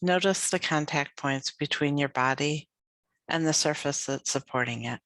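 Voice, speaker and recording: A woman leading a guided yoga nidra meditation as a teacher, speaking gently and soothingly with unhurried phrases and long pauses. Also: low voice, no echo.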